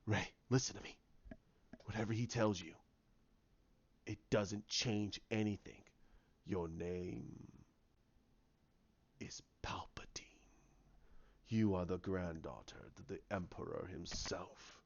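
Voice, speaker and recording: Mentor part: man acting out a weary older Jedi, lowered earnest voice, measured delivery, slightly muffled audio. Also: gravelly, darkish tone, full character voice. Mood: grave.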